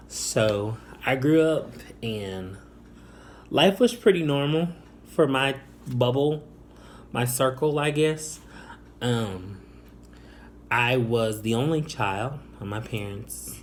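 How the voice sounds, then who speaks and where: A young man from the Chicago area telling a personal story to camera, soft-spoken and hesitant, with many ums and restarts. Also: mid-range voice, slight background noise. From Northwest Indiana, Chicago area. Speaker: vlogger.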